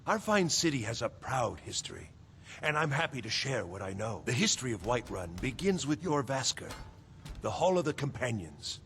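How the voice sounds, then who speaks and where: A proud officious steward in a fantasy game, formal, voice goes up and down a lot, slight background noise. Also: male voice, mid-range voice, big pitch swings, normal speed, vocal fry, fairly bright tone. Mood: proud, courteous. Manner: pompous, formal, courtly. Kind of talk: scripted video game dialogue.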